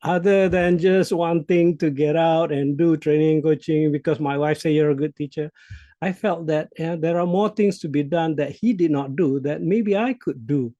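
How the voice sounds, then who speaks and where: A man in his sixties from Malaysia, a Malay speaker, speaking reflectively as an interview guest, with hesitations and soft laughs. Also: on the high side, moderate pace, no room sound, lo-fi sound. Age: 60s. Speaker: CEO coach guest. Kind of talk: interview conversation.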